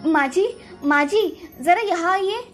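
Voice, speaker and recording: Woman as a meek, high-voiced young storybook bride. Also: measured pace, soft background music. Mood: excited. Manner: pleading, deferential. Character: meek, pleading young bride, anxious daughter-in-law.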